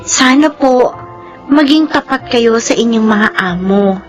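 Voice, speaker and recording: A woman's voice: on the high side, animated, takes it slowly, highs cut off, faint music behind. A woman with a Filipino accent playing a wronged young blind daughter in a radio drama, earnest and emotional. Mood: earnest, resolved.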